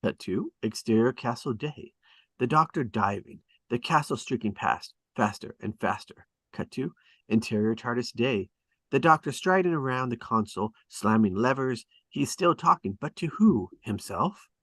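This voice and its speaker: A man's voice: mid-range voice, expressive, normal speed, a bit bright, dry recording. Dry, close-sounding man narrating a script. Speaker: stage directions narrator. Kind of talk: scripted dramatic narration at a table read.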